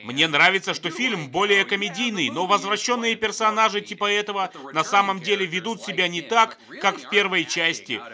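A man's voice: sits high, a little fast, hardly any pauses, pushed and bright. A man narrating scripted comedic film commentary in a sardonic, joking manner.

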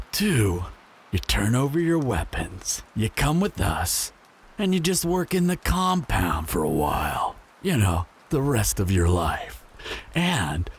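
A man's voice: lowish voice, husky, heavily acted. A man playing a menacing, smug apocalypse bandit in an audio roleplay, taunting and mock-friendly with a drawl.

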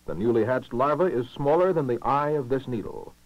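A man's voice: medium pitch, expressive, no room sound. Man narrating a vintage lo-fi educational film. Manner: formal, measured, clearly enunciated delivery. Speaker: documentary narrator. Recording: muffled lo-fi 1960s film soundtrack.

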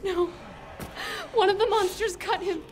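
A woman's voice: extremely high pitch, fairly even intonation, unhurried. An actress playing a frightened young village woman, anxious and pleading in her delivery. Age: young adult.

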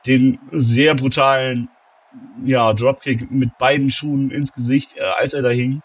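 A man with a cold, commentating a video game wrestling tournament, shouting at the big moments and calmer between them. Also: measured pace, slightly rough. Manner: shouts and gets high-pitched at big moments, calmer when tallying scores. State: has a cold, slightly hoarse. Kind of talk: excited play-by-play commentary.

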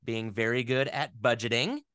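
A man speaking casually as an interview guest, rambling along with animated, geeky enthusiasm. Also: mid-range voice, normal speed, clear, resonant tone, no room sound, studio quality.

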